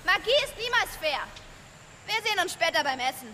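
An actress playing a proud, teasing young warrior sorceress in a fantasy radio play. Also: female voice, very high voice, big pitch swings, fairly quick, a little hiss or hum, full character voice. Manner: teasing, challenging. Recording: loud music under the voice.

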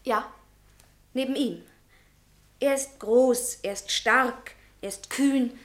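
A woman's voice: a little high, slow pace. An actress in a radio play playing a passionate, headstrong young female student who speaks in an ardent, challenging way. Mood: defiant. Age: young adult. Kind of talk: German radio drama acting.

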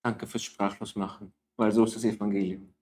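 A man's voice: lowish voice, flat delivery, fairly quick, darkish tone, a little hiss or hum. A man hosting a conversation, giving brief affirmations and thanks to his guest. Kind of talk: casual conversation.

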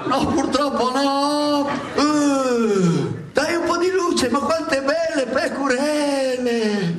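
High-pitched, pompous comic pope. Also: male voice, full character voice. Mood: grandiose. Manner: pompous, repeats phrases, cues audience to echo him. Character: preening, scolding comic Pope Pius XII addressing his flock. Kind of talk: live satirical stage comedy. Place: theatre stage before a live audience. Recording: echoey theatre, clear audience noise.

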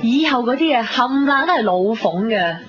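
A woman's voice: a little high, brisk, lightly acted. An actress playing a frank, worldly, teasing woman in a Hong Kong Cantonese radio drama, nagging with sighs and slang. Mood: helpful, teasing. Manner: blunt, sighing, animated nagging with slang. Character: frank, worldly, teasing female friend scolding and helping. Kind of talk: 1990s radio drama acting. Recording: dull recording with music behind.

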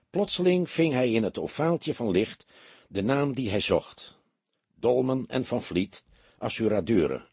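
Very husky male audiobook narrator. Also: medium pitch, darkish tone, no room sound. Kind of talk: scripted literary narration.

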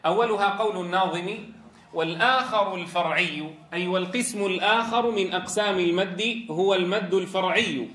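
High-pitched Levantine man with a cold. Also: fairly bright tone. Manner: careful, deliberate enunciation with repetition. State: congested with a cold, tired voice. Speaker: Quran recitation teacher. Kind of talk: lesson explaining a memorised verse text. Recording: echoey room reverb.

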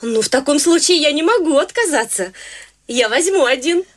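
A woman's voice: high voice, animated, normal speed. An actress playing a prim, proper middle-aged society mother who speaks politely, formally and with some hesitation in a radio play. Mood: courteous. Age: middle-aged (figure).

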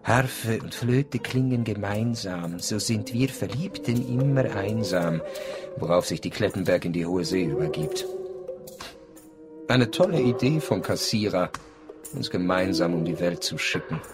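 A man's voice: fairly low pitch, animated, a little slow. A snarky writer telling his travel journal, mid voice with big swings, music behind, radio play. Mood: dry, sardonic. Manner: caustic, clipped pronouncements. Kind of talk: radio drama narration and dialogue.

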